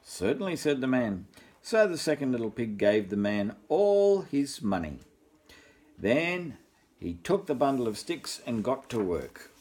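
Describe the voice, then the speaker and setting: An older man reading a children's story as a grandfather, expressive and talking directly to young children. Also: medium pitch, measured pace.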